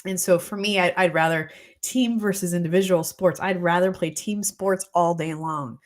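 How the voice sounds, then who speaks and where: A woman's voice: lowish voice, fairly even intonation, normal speed. A woman in her forties, a holistic practitioner, chatting on a live stream in a reflective way with a slightly congested voice. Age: 40s. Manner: chatty and reflective, many fillers. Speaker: holistic practitioner hosting a weekly live show. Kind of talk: casual live-stream talk, greeting viewers. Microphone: streaming mic.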